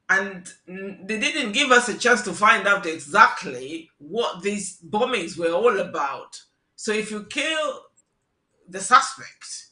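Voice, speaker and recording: A man hosts a call-in political show in a bright, forward voice that sits high for a man. He talks slowly and earnestly, circling back to restart his phrases. His voice rings with the echo of a roomy space. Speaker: talk show host.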